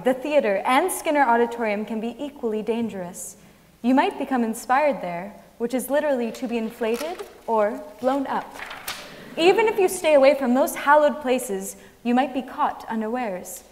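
A woman's voice: on the high side, darkish tone. A woman giving a scripted dramatic reading on the stage of a large auditorium. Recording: long hall reverb.

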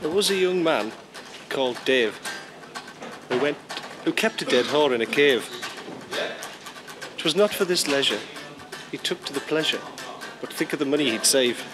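A man recites a short comic verse. His voice is medium in pitch, and music plays clearly behind him. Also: animated, moderate pace, pauses often.

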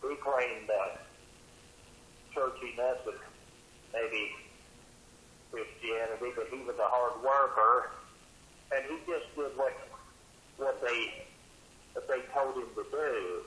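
A man leaving a rambling, grandiose voicemail, halting and repeating himself in disjointed phrases. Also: mid-range voice, fairly even intonation, sharp tone. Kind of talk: rambling phone message monologue. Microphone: telephone voicemail, played back. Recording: hissy, echoey voicemail over phone.

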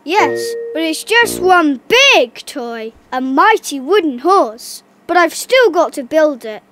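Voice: sits high, sing-song, takes it slowly, a bit bright, faint music behind, full character voice. An actor voicing a friendly, confident young beach ball in a preschool cartoon, upbeat, childlike and easygoing.

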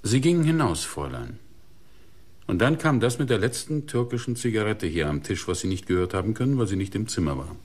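A shrewd police inspector in a radio crime play. He is very deep and husky, making each point deliberately with dramatic rises and falls. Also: big pitch swings, darkish tone. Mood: stern, accusing.

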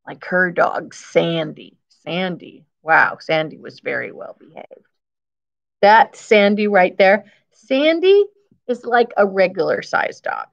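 Lady teacher doing a kids read-aloud, real slow, repeats stuff, kinda dull webcam sound. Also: lowish voice, sing-song, creaky. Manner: simple explanations, repeating key phrases for young listeners. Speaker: teacher reading aloud to children. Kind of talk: children's picture book read-aloud with explanatory asides. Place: indoors, school classroom.

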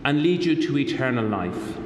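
Irish guy, middle-aged bishop giving mass, measured and formal, big echoey room w some noise. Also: medium pitch, very animated. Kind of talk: liturgical address and blessing. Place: hospital chapel. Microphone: microphone on stand at lectern. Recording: echoey chapel with long reverb, noisy.

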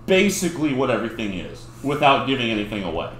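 A man's voice: mid-range voice, quick pace. Chatty guy in his 30s opening mail, bouncy voice, roomy echo. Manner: chatty, lively, laughs often. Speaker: channel host. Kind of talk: casual chat while unboxing mail. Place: dim home room with gaming chairs. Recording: echoey room with faint noise.

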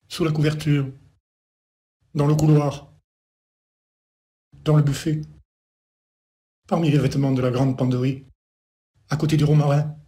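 A man performs a poem in a slightly high voice. He delivers it very slowly, like a litany, in short, repetitive phrases with a pause after each one. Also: warm tone. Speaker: poet. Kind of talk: incantatory poetry recitation.

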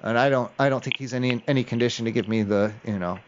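A man's voice: mid-range voice, fast talker. A man making an improvised prank phone call, rambling in an evasive, hesitant way. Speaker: prank caller. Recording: dull lo-fi call audio.

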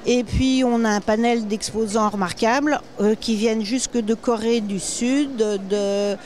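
A woman's voice: on the high side, fairly even intonation, a little slow, a little mellow. A middle-aged woman, the show's organizer, giving a welcome address to the camera in an exhibition hall, with some hesitations. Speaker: show organizer. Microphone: handheld mic.

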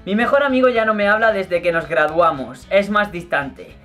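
A man's voice: high-pitched, moderate pace, sharp tone, soft background music. Playful young man with light Murcian accent. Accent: Murcian, mostly held back on camera. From Murcia, Spain. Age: young adult. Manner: chatty, playful, gestures a lot, laughs easily. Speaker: YouTuber. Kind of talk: casual Q&A vlog. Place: bedroom, indoors.

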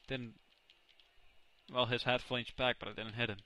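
Bright-voiced Dutch gamer, excitable. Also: male voice, mid-range voice, moderate pace. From Netherlands. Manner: excitable, self-correcting, reacts loudly to plays. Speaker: gaming commentator. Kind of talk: casual let's play commentary.

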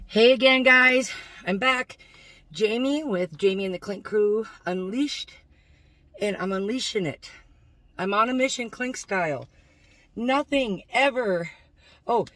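A woman's voice: on the high side, fairly bright tone. Middle-aged American woman vlogging casually. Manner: informal, folksy, self-narrating. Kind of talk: casual chatty car vlog to viewers. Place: inside a car. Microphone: phone or camera on the dashboard.

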